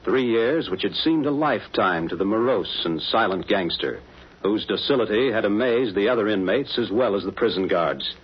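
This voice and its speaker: A man's voice: lowish voice, expressive, normal speed, slightly rough. Dramatic 1940s radio announcer, swooping male voice. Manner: dramatic, emphatic. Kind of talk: 1940s radio scripted narration. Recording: muffled old 1940s radio recording.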